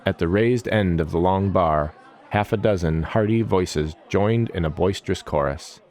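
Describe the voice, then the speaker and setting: A man's voice: sits low, normal speed, darkish tone, some chatter behind. A man giving dramatic scripted narration of a fantasy story as its storyteller.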